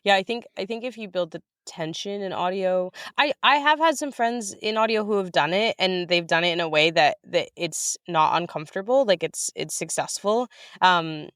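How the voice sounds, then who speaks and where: Clear-voiced woman in casual interview conversation. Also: lowish voice, moderate pace, fairly bright tone, no room sound, clean studio sound. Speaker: interview guest, writer and director. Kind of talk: casual, reflective interview talk.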